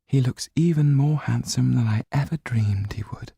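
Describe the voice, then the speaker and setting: Man voicing a breathy, high-lifted young gentlewoman. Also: moderate pace, no room sound, studio quality. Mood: shy wonder. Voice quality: soft, breathy, at times whispered. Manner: hesitant, refined. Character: delicate, anxious young gentlewoman, shy and wistful.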